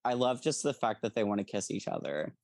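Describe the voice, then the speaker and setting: A woman's voice: rumbling low, expressive, brisk, over a noisy crowd. A young woman chatting on a casual book podcast in a fannish, gushing way. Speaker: podcast co-host. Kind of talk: casual book discussion chat.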